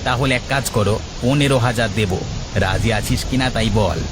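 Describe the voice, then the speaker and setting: A man's voice: mid-range voice, moderate pace, heavy background noise, lightly acted. A man voicing a shrewd, domineering vegetable trader in a cartoon moral story. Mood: bargaining. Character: scheming, bossy male vegetable trader, smug and shrewd.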